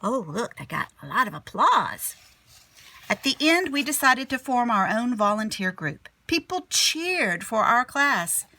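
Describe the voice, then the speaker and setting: A woman teacher reading a children's picture book aloud expressively, sometimes dropping to a hushed tone. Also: fairly high pitch, measured pace, no room sound. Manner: animated storyteller, drops to hushed whispery tones.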